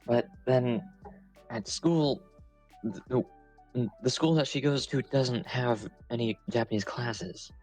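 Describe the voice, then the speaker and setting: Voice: mid-range voice, slow, darkish tone, soft background music, low-quality recording. A podcast guest chatting casually and making dry, teasing remarks.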